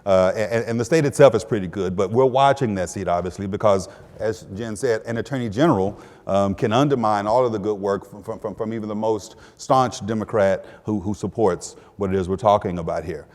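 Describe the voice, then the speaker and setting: Male panelist from Texas, lowish voice, halting delivery with restarts, reverberant hall. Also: a little mellow. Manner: halting, repeats words, many fillers. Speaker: panel guest, attorney general. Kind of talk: panel discussion.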